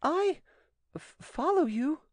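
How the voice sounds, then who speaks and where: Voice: medium pitch, very animated, unhurried, a little mellow, dry recording, voice pushed up. A narrator voicing a coquettish, falsely innocent Frenchwoman in audiobook dialogue, with theatrical, sweetly dramatic protestations. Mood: overwhelmed.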